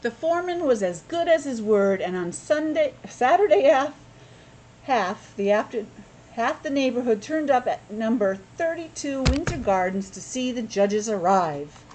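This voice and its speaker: Woman, teacher-style storyteller, higher-pitched and very lively, explanatory asides, slight background noise. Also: a little slow, poor audio. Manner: expressive, explanatory, encouraging. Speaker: schoolteacher reading a picture book aloud to children. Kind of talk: read-aloud storytelling with simple asides explaining the pictures.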